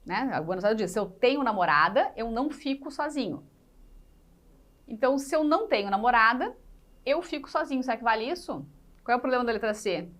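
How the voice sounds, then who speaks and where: A woman's voice: fairly high pitch, lively intonation, a little fast, a bit bright. A woman teaching an exam-prep class step by step, repeating key points and putting questions to learners. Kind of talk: live lesson explaining exercises step by step.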